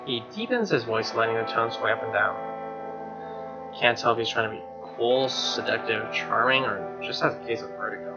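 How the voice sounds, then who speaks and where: A man plays through a visual novel, narrating in a mid-pitched voice and breaking into incredulous, sweary asides. The sound is slightly dull, with guitar music clearly behind him. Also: lively intonation, normal speed. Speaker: let's player reading a visual novel aloud. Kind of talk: story narration with casual sweary reactions.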